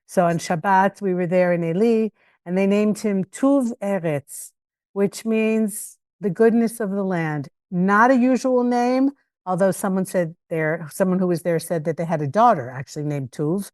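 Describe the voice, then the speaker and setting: An older woman hosts a relaxed podcast chat, talking in an expressive, chatty way. Her voice sits at an ordinary pitch and the recording is dry and close, with no room sound. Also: dry recording. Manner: chatty, expressive, quick to laugh. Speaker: podcast host. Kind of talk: casual interview.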